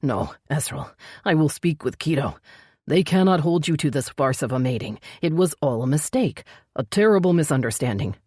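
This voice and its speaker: A woman voicing a possessive, hot-tempered male vampire lover in a romance audiobook, growling and forceful in an insistent way. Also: lively intonation, moderate pace, breathy edge, dry recording, clean studio sound. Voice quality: low, growling. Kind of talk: dramatic romance audiobook dialogue.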